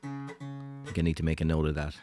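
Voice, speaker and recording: A male musician chats casually with his stream between songs, keeping his voice soft and deliberately low. His tone is deep and relaxed as he thanks viewers. A guitar sounds faintly in the background. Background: faint guitar strumming and tuning.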